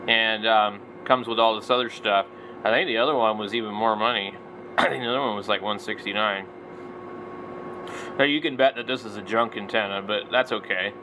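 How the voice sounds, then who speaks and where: Casting: middle-aged male hobby vlogger, lowish voice, blunt, off-the-cuff delivery, clearly noisy recording. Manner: blunt, opinionated, mildly slangy. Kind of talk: casual, unscripted product browsing commentary.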